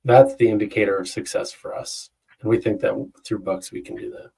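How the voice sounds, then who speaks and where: A middle-aged man explaining things conversationally as an interview guest, with a slightly husky voice, over a video call. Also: lowish voice, steady tone, a little fast, warm tone, distant mic, poor audio. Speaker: interview guest, company founder. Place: indoor room on a video call. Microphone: wireless earbuds.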